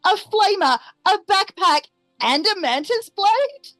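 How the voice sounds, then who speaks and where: A woman's voice: very high voice, sing-song, takes it slowly, a bit bright. A woman playing a tabletop game, chatting casually in a playful, wry way. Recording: faint music behind. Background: faint music.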